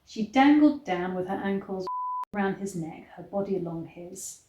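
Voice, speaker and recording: A woman's voice: mid-range voice, animated, normal speed, noisy background. A woman reading a novel aloud in a mock-dramatic way, breaking off for blunt, incredulous commentary. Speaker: online reader and commentator. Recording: roomy, echoey sound.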